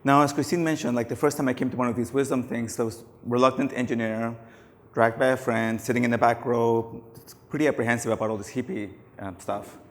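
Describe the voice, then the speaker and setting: A man's voice: medium pitch, fairly even intonation, brisk. Man giving a talk, hall reverb. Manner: casual, anecdotal, many fillers and likes. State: losing his voice. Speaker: conference speaker. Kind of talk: conference talk on stage. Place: large conference hall.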